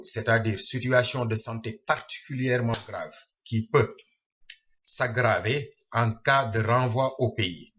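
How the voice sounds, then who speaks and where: A man reading a scripted news explainer aloud in short, halting phrases. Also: medium pitch, fairly bright tone, dull audio. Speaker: reader and translator of a news bulletin.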